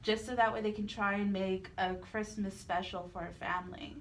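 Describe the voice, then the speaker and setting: A woman with a medium-pitched voice co-hosts a radio show. She presents conversationally, with faint noise in the background. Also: fairly even intonation, normal speed.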